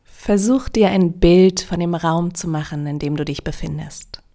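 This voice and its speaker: A woman leading a guided yoga nidra relaxation in a calm, soothing, measured way with long pauses. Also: lowish voice.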